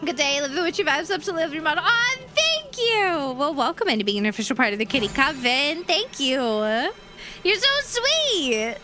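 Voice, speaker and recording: Bubbly high-voiced woman streamer, hoarse. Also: very animated, normal speed, music in the background. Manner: bubbly and sweet, thanking chat. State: hoarse, losing her voice. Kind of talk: casual live-stream chat while gaming.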